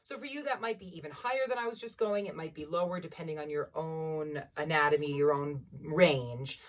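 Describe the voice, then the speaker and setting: A young woman with a generally low voice, teaching a beginner singing lesson from a keyboard at home and encouraging students as she explains. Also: fairly quick, distant mic. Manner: encouraging, demonstrates vocal sounds between explanations. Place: small room at home, seated at a keyboard.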